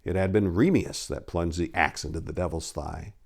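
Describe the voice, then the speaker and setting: A man with a fairly low voice performs as a storyteller. His delivery is theatrical and happily hammed up, aimed straight at his listeners. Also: moderate pace, creaky. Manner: theatrical, hammy. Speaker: narrator and story editor. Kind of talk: dramatic story narration and informal talk to listeners.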